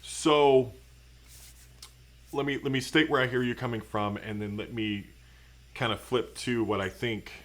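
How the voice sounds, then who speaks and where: A man hosts the conversation, speaking slowly and deliberately as he restates what his guest has said. His pitch is ordinary for a man, and he takes his time with each phrase. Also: fairly even intonation, fairly bright tone, slight background noise. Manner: measured, restates the guest's points. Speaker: host. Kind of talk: conversational interview.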